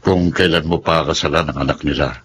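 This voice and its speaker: An actor playing an aging, insistent father in a Tagalog radio drama, with an expressive, pressing delivery. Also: extremely low pitch, fast, slight background noise. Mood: insistent, fatherly. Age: older.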